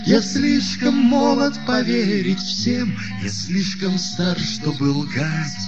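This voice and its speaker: Dull lo-fi recording, loud plucked guitar, man singing, high voice, slow bard song. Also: very animated. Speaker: singer-songwriter. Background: plucked acoustic guitar.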